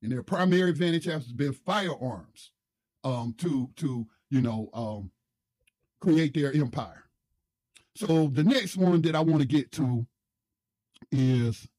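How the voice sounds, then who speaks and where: A man hosts a livestream in a fairly high voice, recorded dry and close. He talks casually and haltingly, often feeling for the next word as he comments. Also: mellow, no echo. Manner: halting, searching for words. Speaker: livestream host.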